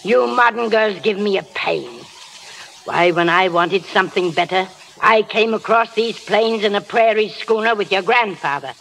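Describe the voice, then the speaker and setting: A woman's voice: medium pitch, moderate pace, gravelly. An actress playing a feisty old pioneer grandmother, stern but loving, with an American accent, in 1930s radio style. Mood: stern, impassioned. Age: older. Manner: forceful, exhorting. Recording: hissy, crackly old radio recording.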